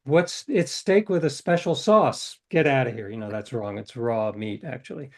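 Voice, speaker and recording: A man's voice: mid-range voice, normal speed. An older man, a novelist, telling stories in a casual interview, rambling and correcting himself, acting out quoted dialogue. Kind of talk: casual storytelling.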